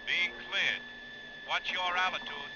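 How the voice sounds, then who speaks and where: An actor playing a brash airfield tower operator over the radio in an old-time radio drama, with clipped procedural delivery. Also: male voice, high voice, slow pace. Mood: brisk, businesslike. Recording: muffled, noisy old radio recording.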